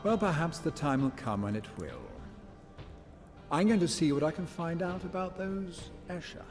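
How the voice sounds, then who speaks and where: An actor playing a shrewd rival bounty hunter in a game cutscene, talking in a knowing, persuasive way. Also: male voice, on the high side, lively intonation, moderate pace, over music, close to an everyday voice. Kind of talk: video game cutscene acting.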